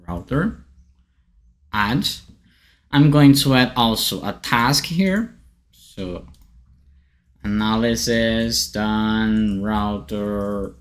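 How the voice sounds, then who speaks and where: A man in his thirties from Brazil, with a Brazilian Portuguese accent, giving a friendly software tutorial from a bright indoor room. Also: lowish voice. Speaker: tech writer demonstrating software.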